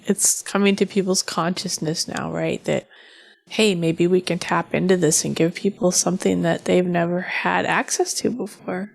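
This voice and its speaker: A woman's voice: lowish voice, creaky. A woman co-hosting a casual tech discussion, earnest and emphatic, often repeating herself to make a point. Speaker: podcast co-host.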